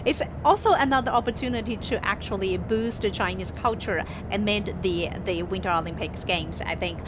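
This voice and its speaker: A female host leading a live news talk show, asking relaxed questions full of fillers. Her voice sits at an ordinary pitch. A clear noise runs behind her. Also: moderate pace. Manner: conversational, hedging with fillers.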